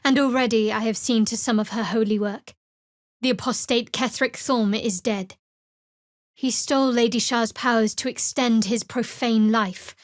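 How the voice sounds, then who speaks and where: An actress playing a guarded, wry young half-elf cleric in a fantasy video game, speaking in a measured, dry, slightly haughty way. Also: female voice, a little high, fairly even intonation, dry recording, natural voice. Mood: wry, cutting. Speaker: video game character voice actor. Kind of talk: scripted fantasy game dialogue.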